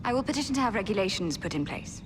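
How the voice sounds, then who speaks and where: A woman playing a brisk Victorian nurse. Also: medium pitch, big pitch swings, background noise, natural voice. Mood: urgent. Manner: brisk, proper, businesslike. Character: composed Victorian nurse, middle-aged woman. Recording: game cutscene with music and long reverb.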